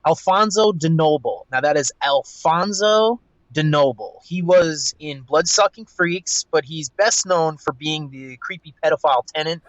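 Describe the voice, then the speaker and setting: A man in a wry, deadpan recorded voice message, reading out a list to a podcast. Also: a little high, measured pace, no echo, lo-fi sound. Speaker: listener sending in a voice message.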